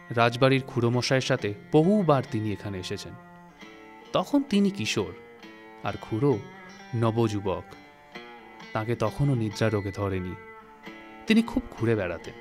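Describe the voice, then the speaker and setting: A man reading a literary story as narrator, in a measured, phrase-by-phrase storytelling manner. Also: fairly low pitch. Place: recording studio. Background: music.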